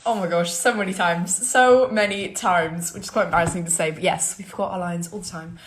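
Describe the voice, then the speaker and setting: A woman's voice: fairly low pitch, brisk. A teenage girl from New York City with a mostly British accent, answering fan questions in a bubbly, giggly, chatty vlog from her bedroom. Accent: British with occasional American traces. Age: teens. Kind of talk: casual Q&A vlog. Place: bedroom at home. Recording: echoey room.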